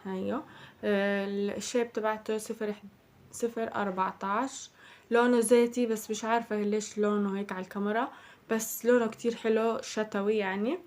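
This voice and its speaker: Hoarse young Palestinian woman vlogger. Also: medium pitch, fairly even intonation, a little fast, a little mellow, slight background noise. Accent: Palestinian Arabic. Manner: chatty, addresses viewers as girls. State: slightly hoarse from a cold. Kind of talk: casual shopping haul chat.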